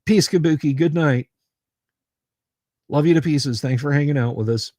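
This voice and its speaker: A man's voice: fairly high pitch, normal speed, warm tone, no echo, clean studio sound. A man hosting a casual livestream, rambling, repeating his words and making sarcastic asides while reading viewer comments. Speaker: livestream host.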